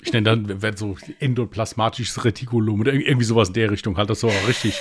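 A man's voice: on the low side, fairly even intonation, quick pace. A young man co-hosting a casual podcast, telling rambling stories and laughing at his own jokes. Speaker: podcast co-host. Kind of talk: casual chat, anecdote telling.

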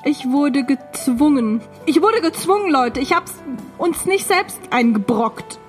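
A woman's voice: high-pitched, lively intonation, unhurried, soft background music. A woman co-hosting a podcast in casual chat, with a playfully dramatic way of talking. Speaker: podcast co-host.

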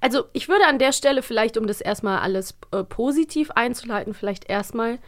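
A woman's voice: a little high, lively intonation, a little fast, no echo. Chatty German woman co-hosting a podcast. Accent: standard German. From Rhineland, Germany. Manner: chatty, explanatory. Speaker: podcast host; voice actor. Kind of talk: casual podcast chat about audio dramas.

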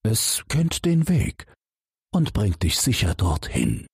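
A kindly old frog king from a storybook speaks, voiced by a man, in a lifted, slow and helpful tone. The voice stays husky and close in a dry, quiet space. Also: fairly low pitch, wildly swooping pitch, no echo, voice pushed up, exaggerated voice.

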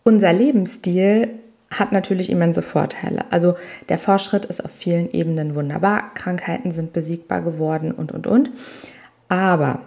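Woman coach doing a solo podcast, lowish relaxed mellow voice, explains stuff straight to listeners, stresses key words. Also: normal speed, vocal fry. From Italy. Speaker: weight-loss coach and podcast host.

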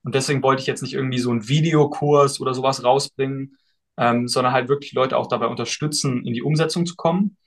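A young man, a guest on a podcast, answering questions in a relaxed and thoughtful way, with frequent fillers. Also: mid-range voice, quick pace. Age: young adult. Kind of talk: casual podcast interview.

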